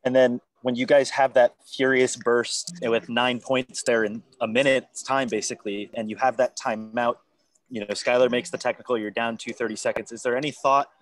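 A man's voice: medium pitch, flat delivery, normal speed. A man reporter at a press conference asking long, detailed questions about game tactics. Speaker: sports reporter.

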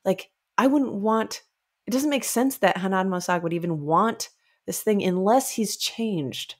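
A woman in her thirties co-hosting a book club, speaking in a casual, expressive way peppered with "like". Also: mid-range voice, unhurried. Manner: expressive, frequent "like", acts out reactions. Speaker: book club podcast co-host. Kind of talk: casual chatty book discussion. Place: indoors, home recording setup. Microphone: close podcast mic.

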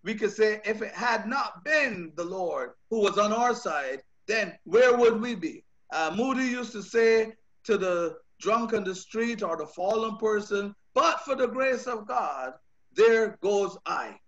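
A man's voice: high voice, animated, measured pace, fairly bright tone. A man with a Bajan accent, a pastor preaching a sermon over a video call, earnest, often repeating words as he builds his points. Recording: dull lo-fi video call audio.

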